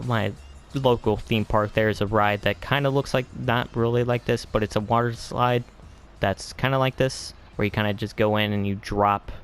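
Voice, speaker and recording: A man giving a casual, rambling game tour as video host, hesitant and often trailing off into vague asides. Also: on the low side.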